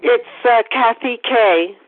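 A woman's voice: a little high, slow pace. Courteous woman chairing a phone meeting. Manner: brief and courteous. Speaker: meeting leader. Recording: dry, tinny phone line.

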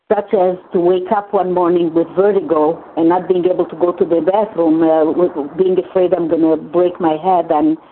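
An older woman whose first language is Hungarian speaks on a tinny phone line. Her voice sits a little low, and she talks haltingly and earnestly, repeating words as she shares. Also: a little hiss or hum. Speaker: meeting participant sharing. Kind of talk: personal share in a phone recovery meeting.

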